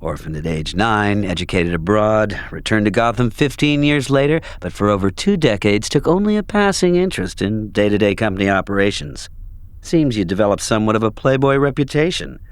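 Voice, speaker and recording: A man playing a smug, smooth-talking corporate executive in an audio drama, formal and self-assured. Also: fairly low pitch, lively intonation, brisk, no echo. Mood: smug, pointed.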